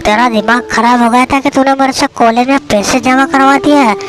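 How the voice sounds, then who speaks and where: Woman voicing a high-pitched flustered village father. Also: steady tone, a little fast, lightly acted. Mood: scolding, worried. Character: scheming, flustered middle-aged village father, debt-ridden. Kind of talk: cartoon story dialogue. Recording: music behind the voice.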